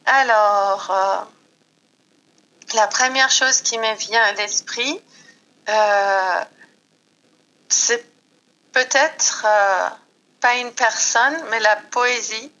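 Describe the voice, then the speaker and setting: A middle-aged woman from Iran, speaking French with a Persian accent, talks reflectively in an interview with many pauses and fillers. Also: on the high side, sharp tone, highs cut off. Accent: foreign accent in French. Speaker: guest. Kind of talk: slow reflective interview talk.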